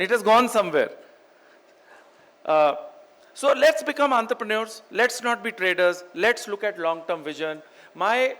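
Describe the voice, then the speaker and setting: A man's voice: sits high, a bit bright. A middle-aged man with a North Indian accent giving an emphatic motivational business keynote, repeating phrases for effect. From Chandigarh, India. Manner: emphatic, repeats phrases in parallel for effect, switches between English and Hindi. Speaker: keynote speaker, real estate executive.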